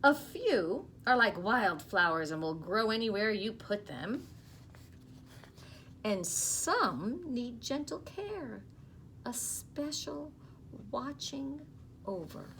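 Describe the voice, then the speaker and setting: A woman in her fifties reads a picture book aloud to children, expressively and unhurriedly, with soft explaining asides. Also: on the high side, very animated, takes it slowly. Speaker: school storytime reader. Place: small office, indoors. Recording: clearly noisy small-room recording.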